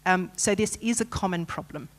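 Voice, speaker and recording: A woman's voice: on the low side, normal speed. An Australian woman, a lawyer speaking on a conference panel, articulate and emphatic, often opening her points with a filler.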